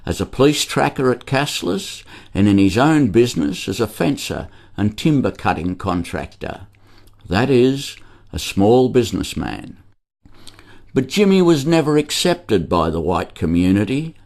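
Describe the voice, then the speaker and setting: A man's voice: medium pitch, a little mellow. A man with an Australian accent reading a scripted documentary narration.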